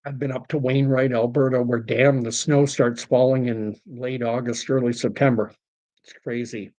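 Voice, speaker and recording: A middle-aged man speaks at an ordinary middle pitch, worn out and hoarse late into a night stream. He rambles through viewer messages and gives his blunt opinions from a bare-bones home setup. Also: little pitch movement, normal speed, warm tone, low-quality recording. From Canada. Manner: rambling, opinionated, reads out and answers chat messages. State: tired, voice going hoarse. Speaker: livestream host reading viewer chat. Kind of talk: late-night solo livestream commentary.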